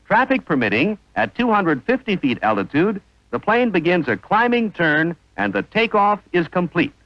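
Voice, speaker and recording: A man's voice: sits high, sing-song, moderate pace, no echo, muffled recording. A man narrating an instructional training film in a formal, precise manner on a vintage soundtrack.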